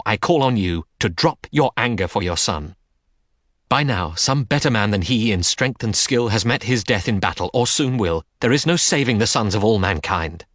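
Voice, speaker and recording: A man voicing a stern goddess of wisdom and war in an audiobook, forceful, urgent and scolding. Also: mid-range voice, no room sound, clean studio sound, voice pushed up. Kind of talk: dramatic audiobook character reading.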